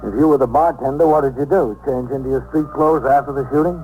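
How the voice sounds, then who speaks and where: A man playing a brisk, authoritative police captain in a 1950s radio drama, with a clipped, businesslike way of talking. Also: mid-range voice, phone-quality sound, faint music behind, close to an everyday voice. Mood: terse, probing. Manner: clipped, businesslike, terse questioning. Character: brisk, authoritative New York police captain, narrating and questioning. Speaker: lead actor and narrator in police drama. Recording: noisy 1950s radio broadcast recording.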